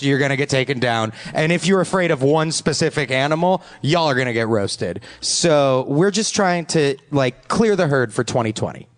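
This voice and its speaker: A man hosting a comedy podcast game, bantering and joking with mock-earnest delivery. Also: mid-range voice.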